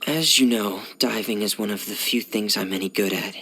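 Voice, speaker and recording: A man playing a shy, gloomy teenage boy diver in video game voice lines, speaking softly and hesitantly in a hushed, breathy voice. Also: on the low side, normal speed, exaggerated voice. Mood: quiet, wistful. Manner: hesitant, reserved, subdued.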